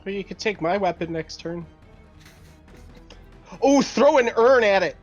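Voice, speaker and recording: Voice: medium pitch, lively intonation, unhurried, dull audio, faint music behind. A player bantering casually during a tabletop roleplaying game.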